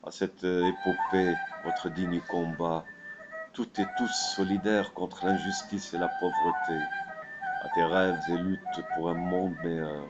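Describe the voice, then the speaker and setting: A man reciting his own poem in a solemn, declamatory way, with measured phrasing. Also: deep voice, dull audio. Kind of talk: solemn poetry recitation with a dedication. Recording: voice over prominent flute music. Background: flute music.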